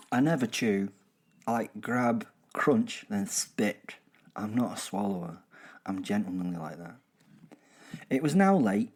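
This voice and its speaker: A man playing a gleeful, boastful werewolf in a horror comedy audio drama, telling of the hunt in a chatty, digressive way with husky drops toward a whisper. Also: fairly low pitch, darkish tone. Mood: gleefully mischievous. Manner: chatty, digressive, self-interrupting, darkly jokey. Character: gleeful, boastful male werewolf killer recounting his hunt.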